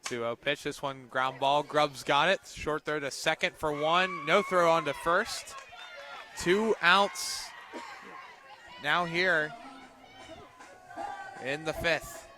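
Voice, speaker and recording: Higher-voiced man calling softball on radio. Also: slow pace, long pauses, crowd noise, background noise. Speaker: radio play-by-play announcer. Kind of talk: live softball play-by-play commentary.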